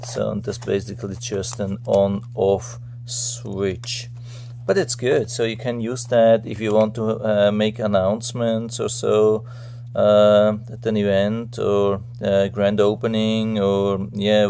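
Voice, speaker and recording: A man's voice: lowish voice, level tone, slow pace, creaky, mellow, slight background noise. A man giving a casual, unscripted product unboxing commentary, thinking aloud in a hesitant, rambling way. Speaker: product reviewer.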